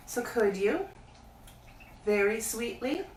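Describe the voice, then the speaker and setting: A woman's voice: on the high side, expressive, takes it slowly, a little mellow, voice pushed up. A woman voicing a curious, insistent little boy in a storybook reading. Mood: coaxing. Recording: echoey room, distant mic, faint hiss.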